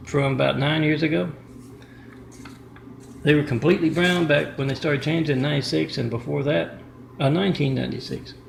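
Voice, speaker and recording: A man's voice: fairly high pitch, vocal fry, roomy sound, slight background noise, poor audio. A man vlogging in a rambling monologue to the camera, restarting often, recorded on a camera mic inside an apartment. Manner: rambling, restarts often, reads out numbers and addresses. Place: indoor apartment with a kitchen.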